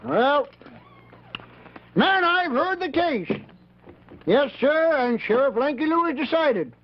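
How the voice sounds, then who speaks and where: Folksy cowboy sheriff, sky-high pitch, repetitive, vintage children's television. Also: male voice, lively intonation, takes it slowly, full character voice. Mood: solemn, judging. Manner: folksy, repeats words, pronounces judgement. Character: folksy, self-important Old West cowboy sheriff. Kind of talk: 1950s children's television comedy acting. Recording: dull 1950s television studio recording.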